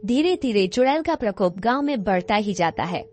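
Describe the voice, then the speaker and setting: A woman's voice: mid-range voice, expressive, normal speed, a little mellow, faint music behind. A woman reading scripted story narration as the narrator of a tale.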